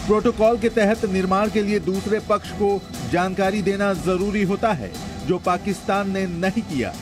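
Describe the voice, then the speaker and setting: An Indian man delivers scripted news narration as a reporter's voice-over. His voice is notably high for a man. Music runs clearly behind every line. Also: moderate pace. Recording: voice-over with prominent music bed.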